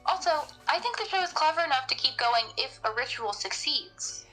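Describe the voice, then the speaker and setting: Woman, bright crisp-edged tone, slightly high, matter-of-fact, analytical discussion, light music behind. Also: lively intonation, a little fast, poor audio. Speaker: podcast panelist in character as a researcher. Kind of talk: semi-improvised in-character discussion of a horror audio drama.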